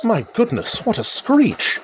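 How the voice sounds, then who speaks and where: An actor playing a chatty, wry country rambler who thinks aloud, musing and exclaiming in old-fashioned idiom, in a radio drama monologue. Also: male voice, high voice, wildly swooping pitch, unhurried, over loud music, exaggerated voice, rough recording. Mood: alarmed. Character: chatty male country rambler talking to himself, wry and excitable.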